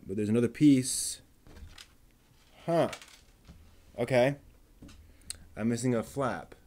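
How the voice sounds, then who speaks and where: A man's voice: mid-range voice, warm tone. A man building a model kit on a live stream, muttering to himself and chatting casually with viewers as he works.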